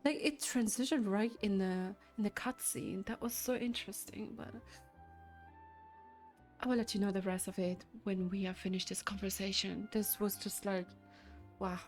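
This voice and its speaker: Slow-paced woman reacting casually. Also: mid-range voice, fairly even intonation, darkish tone, faint music behind. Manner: halting, musing, repeats "like". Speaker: reaction video host. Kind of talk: casual game reaction commentary.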